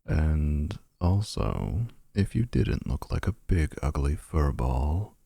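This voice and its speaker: A man's voice: rumbling low, very animated, slow, vocal fry, warm tone, no echo, heavily acted. A man voicing a snarky, possessive male vampire suitor in a boyfriend ASMR roleplay, mocking with dry sarcasm.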